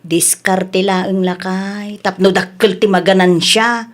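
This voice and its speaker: A woman's voice: mid-range voice, steady tone, takes it slowly, lightly acted. A woman playing a shrewd, penny-pinching wife who runs a small village store in an Ilocano comedy radio drama, with an Ilocano Filipino accent. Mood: scheming, bossy.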